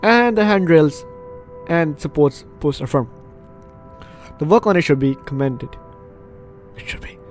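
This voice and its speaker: A man's voice: fairly high pitch, expressive, unhurried, pauses often, darkish tone. A man hosting a let's play, reading a visual novel aloud and breaking off into chatty, reactive asides. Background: faint game music.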